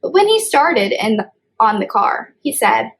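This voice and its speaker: A woman's voice: on the high side, sing-song, unhurried, vocal fry. A woman hosting storytime as a children's librarian, reading aloud and explaining things in simple phrasing for young children.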